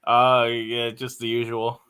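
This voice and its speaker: A man's voice: medium pitch, completely flat delivery, slow, sharp tone, clean tone. A young man from the United States calling into a show, laid-back and giggly, using casual slang. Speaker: guest caller. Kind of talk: casual chat on a call.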